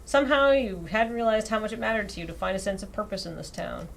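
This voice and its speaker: A woman reads a visual novel aloud on a live game stream. Her delivery is expressive and casual, with wry little asides at her own expense. Her voice sits at an average female pitch, over some background noise. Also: fast talker. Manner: expressive reading broken by wry, self-deprecating asides. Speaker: streamer reading out a dating sim visual novel. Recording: clearly audible background noise.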